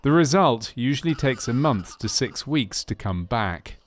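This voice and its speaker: A man reading scripted documentary narration for a medical training film in a measured, factual manner. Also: mid-range voice, expressive, slight background noise. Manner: measured, factual delivery of clinical case history.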